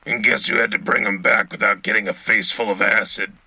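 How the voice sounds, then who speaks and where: A man playing a gruff, cynical battle-hardened soldier co-hosting a sci-fi war radio drama, gravelly and raspy, terse and sardonic. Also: on the low side, steady tone, fairly quick, sharp tone, no room sound, full character voice. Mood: grim, weary. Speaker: radio co-host reporting from the front.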